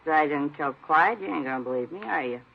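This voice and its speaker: A woman's voice: deep voice, animated, fast talker, gravelly, exaggerated voice. An actress in a 1950s radio crime drama playing a defiant, sarcastic woman suspect who talks in slangy, challenging questions, on a dull vintage recording. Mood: sullen, tipsy.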